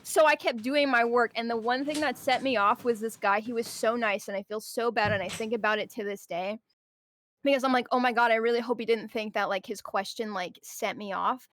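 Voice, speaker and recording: A woman's voice: fairly high pitch, a little fast, fairly bright tone, dry recording. A woman telling stories casually and dramatically, swearing freely and acting out exchanges as she vents on a livestream. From Puerto Rican heritage. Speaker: guest on a livestream. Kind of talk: casual venting, animated storytelling.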